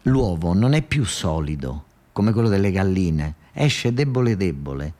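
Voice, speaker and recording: Male monologue storyteller, low-pitched, southern Italian accent, colloquial, halting and repetitive radio-theatre delivery. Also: very animated, dark tone. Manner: conversational, repeats phrases for emphasis, halting restarts.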